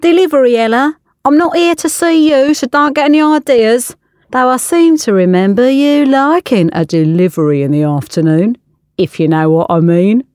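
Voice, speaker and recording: A woman's voice: on the high side, moderate pace, darkish tone, no room sound, clean studio sound, voice pushed up, close to an everyday voice. A woman voicing a cheeky, flirtatious male pastry chef in a storybook reading, cocky and dismissive. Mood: cocky, teasing.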